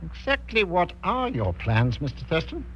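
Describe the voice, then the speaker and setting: An actor playing an eager, genial retired colonel, older, hesitant and politely old-fashioned, in a 1940s radio drama. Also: male voice, high-pitched, huge pitch swings, normal speed. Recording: dull, noisy old radio recording.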